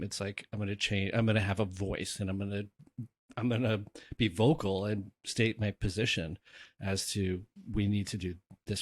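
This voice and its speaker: A man's voice: on the low side, dry recording. A man hosting a real estate podcast, chatting casually and telling his own stories with asides. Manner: conversational, storytelling with asides. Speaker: podcast host, realtor.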